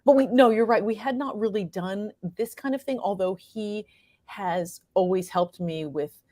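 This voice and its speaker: A woman's voice: medium pitch, normal speed, dark tone, no echo, clean studio sound. A woman speaking as an interview guest about creative work, in a casual, effusive and appreciative conversational way. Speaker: playwright and performer, interview guest.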